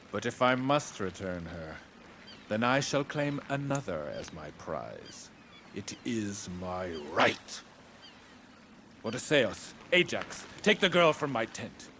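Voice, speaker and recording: An actor playing a proud, greedy Greek high king in a video game, speaking in a pompous, imperious, theatrical way. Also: fairly bright tone, slight background noise, heavily acted. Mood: grudging defiance. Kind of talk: dramatic video game cutscene acting.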